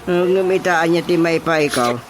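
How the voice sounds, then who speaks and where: A woman's voice: lowish voice, moderate pace, background noise, close to an everyday voice. An actress playing a village chairman in a radio comedy drama, exclaiming and incredulous. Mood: exasperated.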